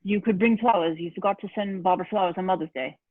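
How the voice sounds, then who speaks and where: A woman's voice: medium pitch, fast, dry recording, close to an everyday voice. A woman playing a stiff, disapproving middle-aged stepfather in a script table read, clipped and formal, on lo-fi home audio. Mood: stern, reproachful.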